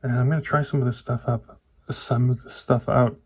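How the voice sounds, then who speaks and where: A man with a mid-range voice gives an informal hands-on tech commentary. He speaks in a halting way, feeling for the right word and correcting himself as he explains things to his viewers. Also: mid-range voice, flat delivery, a little mellow, breathy edge. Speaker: tech vlogger. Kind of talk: casual hands-on PC build commentary.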